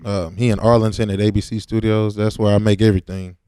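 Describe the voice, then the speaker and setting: Laid back rapper guy chatting in an interview, smooth voice, real dry sound. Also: on the low side, level tone, quick pace, mellow, dry recording. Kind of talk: casual interview talk.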